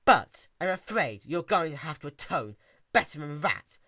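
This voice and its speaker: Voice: medium pitch, sing-song, moderate pace, bright, forceful tone, roomy sound, recorded from a distance, voice pushed up. A performer voicing a volatile female pony princess, imperious and theatrical, with menacing politeness, in a storybook reading. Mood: menacing fury.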